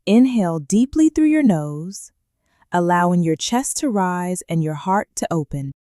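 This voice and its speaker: Calm lady doing guided meditation, lowish mellow voice, gentle, lots of space between phrases. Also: no room sound, studio quality. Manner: calm, gentle, unhurried phrasing with long pauses. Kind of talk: guided meditation, slow soothing instruction.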